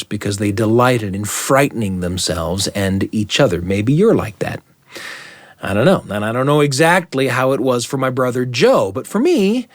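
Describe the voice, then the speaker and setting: A man telling a story as a radio host, with deliberate, suspenseful phrasing and dramatic repetition. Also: mid-range voice, no echo, clean studio sound.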